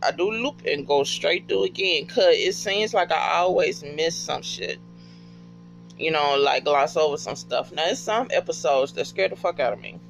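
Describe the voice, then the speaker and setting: A man's voice: a little high, lively intonation, normal speed, gravelly, a bit bright, soft background music, poor audio. A man chatting casually and off the cuff, slangy and profane, with emphatic repeated phrases. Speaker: podcast fan giving recommendations. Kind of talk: casual, unscripted chat.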